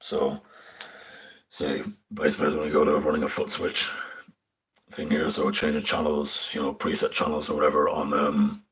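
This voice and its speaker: Very deep, husky young man rambling. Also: monotone, moderate pace, fairly bright tone. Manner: rambling, swears casually, many fillers. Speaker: stage tech student. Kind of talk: casual live screen walkthrough. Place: indoors at a screen. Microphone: phone filming a screen.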